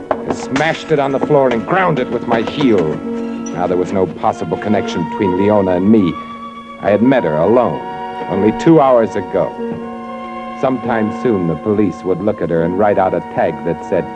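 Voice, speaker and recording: An actor playing a cold, hard-boiled newspaper columnist in a 1940s radio drama, terse and bitter, on a muffled old recording. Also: male voice, on the low side, animated, moderate pace, over music, lightly acted. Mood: grim. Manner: terse, bitter, noir-style delivery.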